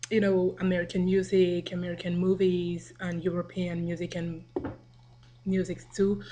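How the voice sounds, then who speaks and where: Chatty young woman from Africa. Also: medium pitch. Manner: chatty, rambling, laughs often, lively acted quotes. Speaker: vlogger. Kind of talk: casual video response to the camera.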